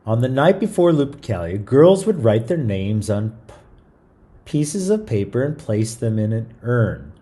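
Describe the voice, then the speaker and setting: A man's voice: medium pitch, sing-song, measured pace, dark tone. A man hosting a story time, reading a picture book aloud in an informal, chatty way with small stumbles and asides. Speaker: read-aloud storyteller, show host.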